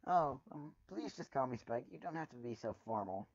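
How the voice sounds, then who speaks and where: A man voicing an eager, friendly young male dragon with an excitable, chummy manner in a storybook reading. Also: medium pitch, normal speed. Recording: muffled, roomy home recording.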